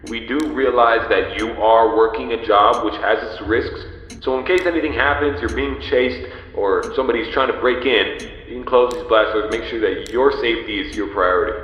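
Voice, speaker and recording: A man's voice: mid-range voice, normal speed, fairly bright tone, muffled recording, close to an everyday voice. A man playing a pizzeria manager who briefs a new night guard in a polite, explanatory, scripted game phone message. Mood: welcoming, instructive. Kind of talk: scripted instructional phone-call monologue. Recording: echoey with long reverb.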